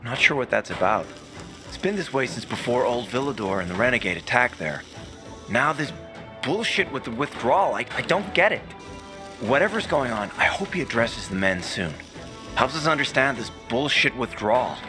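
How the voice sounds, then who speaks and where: A man's voice: medium pitch, lively intonation, moderate pace, close to an everyday voice. Soldier guard, male actor, frank and grumbling, music behind. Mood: frustrated. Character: male rank-and-file soldier, frustrated and loyal. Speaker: checkpoint guard. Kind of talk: video game cutscene acting.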